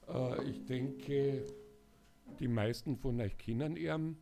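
An older man, hoarse, speaking casually and haltingly to a live audience as a singer-songwriter. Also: medium pitch, music in the background. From Bavaria, Germany. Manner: halting, many filler sounds. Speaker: singer-songwriter introducing an event.

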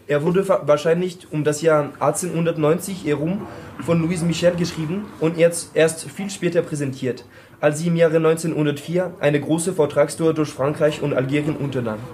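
A man's voice: fairly high pitch, fairly even intonation, moderate pace, darkish tone, slight background noise. A non-native German-speaking man presenting a book and reading its preface, searching for words with many fillers. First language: not German. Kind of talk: halting reading aloud.

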